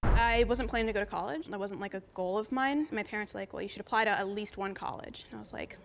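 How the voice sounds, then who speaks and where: A woman's voice: mid-range voice, fast talker, gravelly, noisy background. A woman in her twenties speaking casually and matter-of-factly in a group discussion. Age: 20s. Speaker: panel participant, finance worker.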